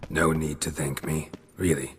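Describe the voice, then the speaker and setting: A man voicing a stoic, gruff monster hunter in a video game, terse and understated. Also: extremely low pitch, little pitch movement, measured pace, long reverb tail, a little hiss or hum. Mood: subdued.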